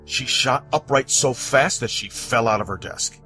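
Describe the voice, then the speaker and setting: A man from the United States narrating scripted personal stories, with dramatic, deliberate phrasing and pauses. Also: medium pitch, sharp tone. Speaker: storyteller. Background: background music.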